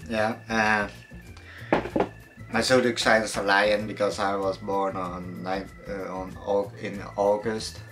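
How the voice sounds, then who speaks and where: A young man answering viewer questions in a casual fitness vlog, relaxed and hesitant, with many fillers and some casual swearing. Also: lowish voice, slow, music in the background. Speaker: fitness vlogger.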